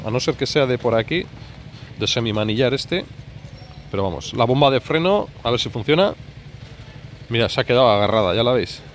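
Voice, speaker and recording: A man, giving chatty, colloquial vlog commentary outdoors. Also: medium pitch. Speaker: motorcycle vlogger. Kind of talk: casual off-the-cuff vlog commentary. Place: outdoors in a street parking area. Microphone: handheld phone or camera, speaker behind it. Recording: noisy outdoor urban street.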